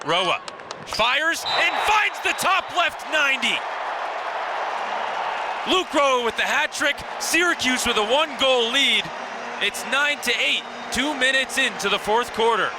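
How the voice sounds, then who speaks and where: Over clear background noise from an outdoor venue, a man in his twenties calls a game live for radio. His voice sits high for a man. He delivers the action in short, descriptive phrases, lifting with excitement when a play is big. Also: measured pace, over a noisy crowd. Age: 20s. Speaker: play-by-play commentator. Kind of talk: live radio sports commentary. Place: outdoor lacrosse stadium. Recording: noisy outdoor broadcast with stadium ambience.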